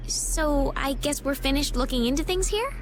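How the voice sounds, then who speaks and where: A gentle, earnest young woman in a video game. She is very high-pitched and expressive, with polite, wide-eyed delivery over clearly audible ambience. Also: normal speed, background noise. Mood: curious, polite. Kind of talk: English video game voice acting.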